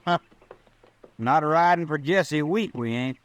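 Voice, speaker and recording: A man's voice: fairly high pitch, slow pace. A man playing a naive, anxious young cowboy sidekick with a folksy, questioning drawl in an old-time radio western. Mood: puzzled.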